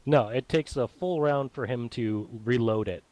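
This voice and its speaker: A man's voice: medium pitch, moderate pace, darkish tone, no room sound. A man with a mid-range voice runs a tabletop roleplaying game. He explains rules and narrates casually and informally. The sound is dry, with little room around it. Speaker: game master.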